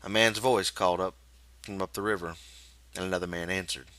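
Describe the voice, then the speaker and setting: A man reading a novel aloud as dramatic, measured, suspenseful scripted narration. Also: deep voice, fairly even intonation, pushed and bright, no room sound. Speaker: audiobook reader.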